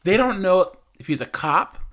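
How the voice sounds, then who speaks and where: A man giving informal reaction commentary as a host, repeating phrases and occasionally stuttering when excited. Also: high voice, normal speed.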